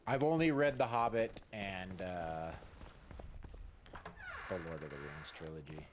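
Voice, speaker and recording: A man chatting casually and ramblingly as a game streamer, informal and halting, leaning on key words. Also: deep voice, noisy background. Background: game music.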